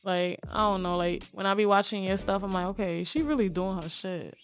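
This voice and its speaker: A young woman born in Haiti, hosting a podcast in relaxed, slangy casual chat. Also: mid-range voice, a little fast, soft background music. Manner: slangy, relaxed, laughs often. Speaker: podcast host.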